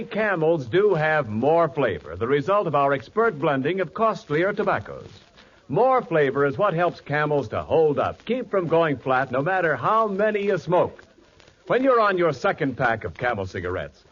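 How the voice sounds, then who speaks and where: A man's voice: on the high side, moderate pace, muffled recording, a little hiss or hum. A man announcing and reading a cigarette ad in a persuasive 1940s radio style. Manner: smooth, persuasive, lively.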